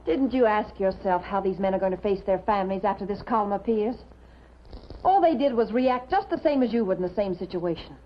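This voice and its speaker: An American actress playing an icy, sharp-tongued young proofreader in a 1950s television drama, haughty, clipped and lecturing. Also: female voice, mid-range voice, expressive, brisk, natural voice. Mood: indignant lecturing. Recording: muffled, noisy 1950s television soundtrack.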